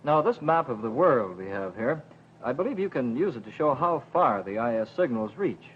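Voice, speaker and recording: A man's voice: mid-range voice, big pitch swings, moderate pace. A man working as a television interviewer, putting formal questions in a 1950s broadcast. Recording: muffled 1950s television recording, faint noise.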